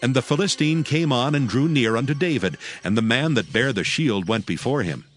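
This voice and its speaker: A man's voice: mid-range voice, animated, normal speed. A man narrating scripture as storyteller in a dramatized reading. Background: background music.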